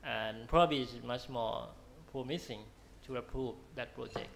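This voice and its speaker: Gravelly, hesitant male panelist. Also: mid-range voice, moderate pace, fairly bright tone, slight background noise. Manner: hesitant, many fillers and restarts. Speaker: panel speaker. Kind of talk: panel discussion answer.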